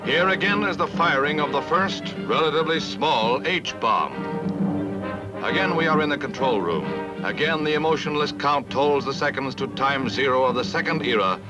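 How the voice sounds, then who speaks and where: A man's voice: on the high side, lively intonation, moderate pace. An American man narrating a 1950s newsreel in a formal, dramatic, declamatory manner. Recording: dull vintage newsreel, loud music behind. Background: loud music.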